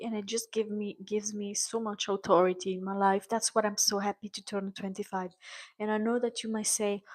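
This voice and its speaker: A woman's voice: medium pitch, rough recording. A woman in her mid-twenties speaking in a personal vlog monologue, earnest and rambling, restarting her sentences often, with small grammar slips. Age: 20s. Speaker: vlogger.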